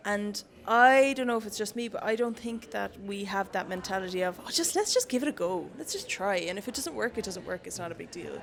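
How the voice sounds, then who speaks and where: A woman's voice: mid-range voice, animated, brisk, creaky, hardly any pauses, a bit bright. Irish woman from cork, chatty, laughs often, young adult, interview guest. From Ireland. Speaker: city council staff guest. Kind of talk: casual interview conversation.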